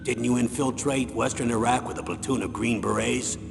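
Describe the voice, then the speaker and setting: A man plays an aging colonel in a military game drama. His voice is gravelly and middling in pitch, with a measured, commanding, persuasive manner. It rings in a long, hall-like reverb over clear rumbling noise. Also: steady tone, natural voice. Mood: grave briefing. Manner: measured, authoritative briefing delivery. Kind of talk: dramatic video game voice acting.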